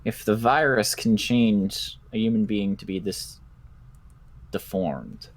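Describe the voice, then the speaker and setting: A man roleplaying a cautious, analytical doctor in a zombie survival game, speaking in a deliberate, musing, clinical way. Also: lowish voice. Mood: clinical, pondering. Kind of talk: improvised Minecraft roleplay.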